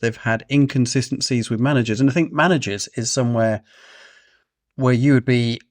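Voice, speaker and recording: A man's voice: mid-range voice, fairly even intonation, normal speed, no echo. A man on a casual football podcast who answers the teasing with dry, sarcastic wit. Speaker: podcast guest.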